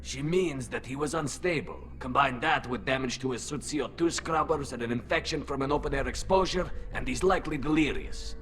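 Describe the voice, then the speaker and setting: A man voicing a hostile, suspicious alien soldier in a video game, gravelly and bluntly accusing. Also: mid-range voice, brisk, hardly any pauses, a bit bright, soft background music, natural voice.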